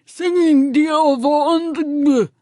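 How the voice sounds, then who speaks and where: A woman narrator putting on a silly ventriloquist voice, babbling slowly. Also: fairly even intonation, darkish tone, no room sound, studio quality. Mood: mock solemn. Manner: slow, high, nonsense-sounding babble.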